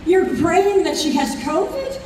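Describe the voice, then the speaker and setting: A woman's voice: very high-pitched, very animated, a little slow, heavily acted. A woman playing an earnest, protective grown daughter in an old time radio drama. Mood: worried. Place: convention hall stage. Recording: echoey hall, distant, faint noise.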